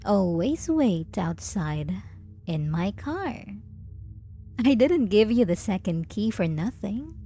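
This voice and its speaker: Woman performing as possessive dominant female lover, ASMR roleplay, unhurried, lilting intonation, teasing, condescending, doting. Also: a little high, mellow. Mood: teasing, controlled. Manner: slow, teasing, condescending pet-name cooing with deliberate pauses. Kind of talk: intimate ASMR audio roleplay.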